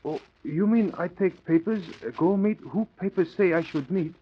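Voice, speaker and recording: An actor playing a loyal valet sidekick, speaking clipped broken English in a 1940s radio adventure. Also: male voice, high voice, normal speed, slightly rough. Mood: curious, agreeable. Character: loyal, eager male valet and sidekick to a crimefighter. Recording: muffled vintage radio recording.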